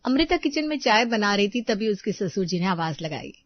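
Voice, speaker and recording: A woman storyteller delivers scripted narration of a domestic tale. Her pitch is average, and the sound is very dry and a little dull. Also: sing-song, moderate pace, no room sound.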